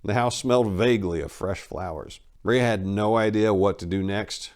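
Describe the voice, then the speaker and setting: A man's voice: on the low side, moderate pace, darkish tone. A man hosting and reading a scripted story as dramatic narration, with theatrical emphasis. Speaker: host and narrator.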